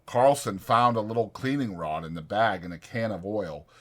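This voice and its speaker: A man reads a novel aloud as an audiobook narrator. His voice is bright and crisp in attack, delivering scripted dramatic prose in a storytelling style. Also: lowish voice, expressive, normal speed.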